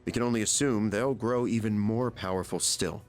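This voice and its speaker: A man's voice: on the low side, normal speed, soft background music, lightly acted. A man voicing a genial middle-aged chairman in a video game, politely and somewhat showily explaining things. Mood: explanatory, serious.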